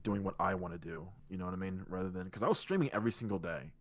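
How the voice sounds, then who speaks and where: Male co-host, low and soothing, loose rambling delivery, casual profanity. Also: racing. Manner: rambling, relaxed, swears casually. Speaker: podcast co-host and streamer. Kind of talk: casual chat.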